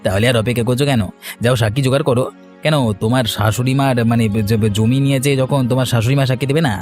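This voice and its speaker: A man's voice: medium pitch, steady tone, fast, a little mellow, soft background music, lightly acted. A man playing a sly, scheming, self-righteous villager in a cartoon story, with an insinuating manner. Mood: goading. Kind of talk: dramatic cartoon story dialogue.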